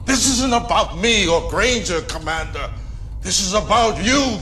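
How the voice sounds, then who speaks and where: A man's voice: high voice, lively intonation, measured pace, pushed and bright, faint music behind, full character voice. A man playing an ambitious, scheming political director in a game cutscene, persuasive and self-assured with dramatic emphasis. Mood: urgent, goading. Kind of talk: video game cutscene acting.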